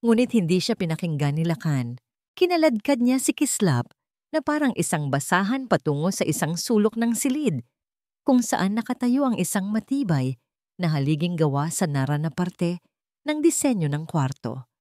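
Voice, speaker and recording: A woman's voice: on the low side, very animated, normal speed, warm tone, dry recording, clean studio sound. A woman reading a dramatic story as a scripted narration.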